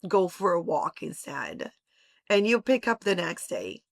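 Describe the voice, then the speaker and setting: A woman's voice: lowish voice, big pitch swings, unhurried, creaky, dry recording. A woman in her forties from Brazil, talking earnestly and passionately as an interview guest. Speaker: guest, homeschooling mother. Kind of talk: interview conversation.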